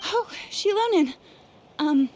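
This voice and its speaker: A woman's voice: extremely high pitch, lively intonation, takes it slowly, darkish tone, a little hiss or hum, full character voice. A woman voicing a kindly, modest craftswoman in a video game, talkative, candid and friendly. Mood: nervous, confiding.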